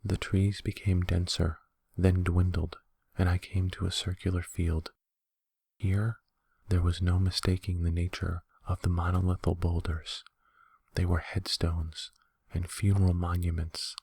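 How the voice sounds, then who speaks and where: A man narrates horror fiction in a hushed ASMR reading. His voice is very low and husky, with a steady, flat delivery. He speaks slowly and deliberately. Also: dry recording. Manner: slow, deliberate, hushed storytelling. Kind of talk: soft-spoken ASMR audiobook reading.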